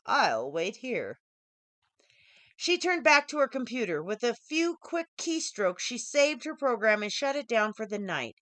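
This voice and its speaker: A woman's voice: a little high, animated, moderate pace, hardly any pauses, fairly bright tone, no echo, clean studio sound. A woman author reading her own short story as scripted audiobook narration.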